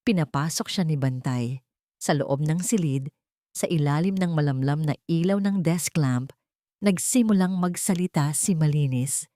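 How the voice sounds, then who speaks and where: A woman with a lowish voice narrates a scripted drama. Her phrasing is measured and dramatic, with deliberate pauses. The sound is close and dry, without room echo. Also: dark tone. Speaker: storyteller, narrator.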